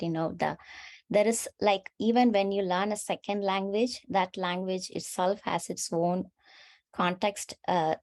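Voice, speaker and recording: A woman with a lowish pitch hosts a webinar over a video call. Her is Tamil, and she circles her points aloud with fillers and self-corrections. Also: a little mellow. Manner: thinks aloud with many fillers and restarts. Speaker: webinar host. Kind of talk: conversational webinar discussion.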